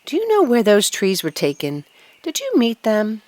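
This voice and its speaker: A woman's voice: mid-range voice, huge pitch swings, moderate pace, a little mellow, slight background noise, pitched above the performer's own voice. A woman voicing an eager, restless young fir tree in a children's storybook, full of wistful questions and exclamations. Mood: curious, longing.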